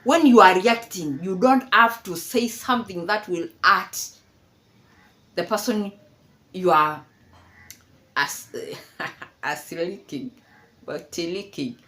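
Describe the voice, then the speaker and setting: Slow-talking woman in her fifties, mocking. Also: on the low side, fairly bright tone. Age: 50s. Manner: chatty, mocking, laughs often, repeats herself. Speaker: commentary vlogger. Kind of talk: casual commentary chat.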